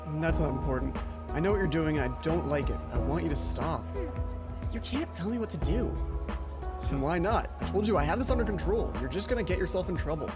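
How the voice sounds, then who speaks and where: A worried teenage schoolboy from a visual novel, voiced by a man. He is reserved and hesitant, scolding in a low-key way. Music plays behind him. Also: lively intonation, a little fast, close to an everyday voice. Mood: stern.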